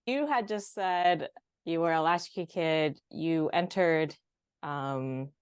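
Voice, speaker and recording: A woman hosting an interview, asking curious questions with soft reactions. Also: low voice, takes it slowly, clean tone, no echo, studio quality. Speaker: host. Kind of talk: casual interview.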